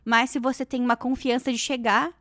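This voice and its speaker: A woman's voice: fairly high pitch, flat delivery, a little fast, a bit bright, dry recording. A woman, hosting a podcast interview in an encouraging way. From Brazil. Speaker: podcast host. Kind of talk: casual interview chat. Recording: dry close sound.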